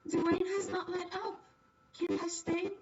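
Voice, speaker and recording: A woman's voice: extremely high pitch, level tone, a little slow, recorded from a distance, highs cut off, pitched above the performer's own voice. A woman voicing a meek, obedient young daughter from a visual novel, timid and apologetic. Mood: timid pleading. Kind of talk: reading visual novel dialogue.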